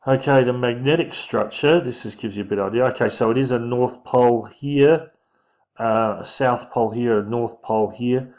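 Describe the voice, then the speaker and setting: A man giving an informal physics lesson, talking through diagrams in a casual, halting way as he thinks aloud. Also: mid-range voice, darkish tone. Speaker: physics teacher. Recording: dull lo-fi microphone sound.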